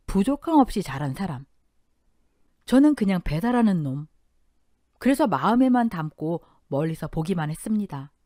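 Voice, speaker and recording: A woman narrating a first-person life story for a radio drama, with emotional, lively storytelling. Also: mid-range voice, dry recording, studio quality.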